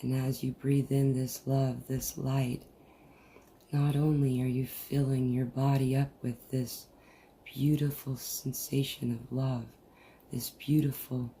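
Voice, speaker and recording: A person leading a guided meditation in a soothing, deliberate manner with long pauses, recorded over a video call. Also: medium pitch, little pitch movement, warm tone. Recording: noisy, muffled group video-call audio.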